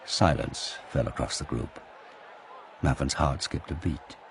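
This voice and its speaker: A man's voice: very deep voice, a little slow, exaggerated voice. A man narrating an audio drama in a dramatic, scripted storytelling style. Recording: clear background noise and ambience.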